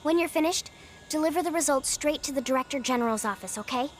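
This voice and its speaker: A woman playing a young, capable tech specialist in a video game, with a brisk, matter-of-fact manner. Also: sits high, very noisy, close to an everyday voice. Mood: frank, businesslike. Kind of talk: video game cutscene acting.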